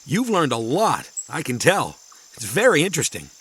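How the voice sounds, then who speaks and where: Grave, secretive professor, lively intonation. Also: male voice, fairly high pitch, breathy edge, exaggerated voice. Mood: measured, intrigued. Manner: measured, cryptic, ominous. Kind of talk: kids' audio drama acting.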